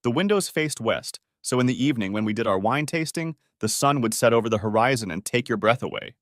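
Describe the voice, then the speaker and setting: A man reads a scripted first-person story. His pitch sits in the middle range and moves in a lively way. The sound is close and dry, with no room around it. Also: brisk, no echo.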